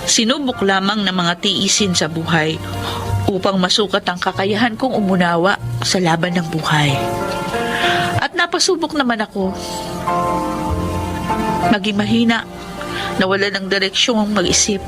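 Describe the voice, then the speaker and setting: A woman's voice: medium pitch, animated, moderate pace, long pauses, close to an everyday voice. A young woman acting as a devoted college-student daughter in a radio drama, with an emotional, heartfelt delivery. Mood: solemn, proud. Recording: loud dramatic music bed. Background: music.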